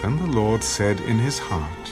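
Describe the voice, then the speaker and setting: A man narrating scripture as dramatic audio theater, with solemn, deliberate storytelling. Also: on the low side, sing-song. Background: music.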